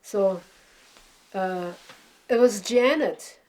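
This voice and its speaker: A woman's voice: mid-range voice, very slow, rough recording. A woman in her eighties from Belgium, telling rambling, chatty stories as an interviewee about her painting. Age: 80s. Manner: rambling, chatty, animated storyteller. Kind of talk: casual storytelling interview.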